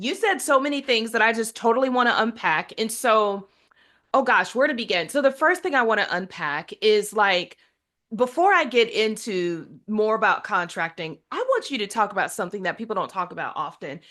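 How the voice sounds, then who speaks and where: Upbeat woman host, kinda high voice, talks a lot, eager questions. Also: expressive, normal speed, pushed and bright. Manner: enthusiastic, chatty, asks layered questions. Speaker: podcast host. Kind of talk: casual interview chat.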